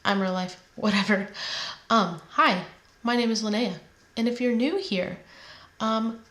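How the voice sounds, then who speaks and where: A woman hosting a home cooking vlog, chatting casually and rambling a little, sounding nasal while she gets over a head cold. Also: mid-range voice. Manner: chatty, rambling, laid-back with many fillers. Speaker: home cooking channel host. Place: home kitchen. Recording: echoey room, faint background noise.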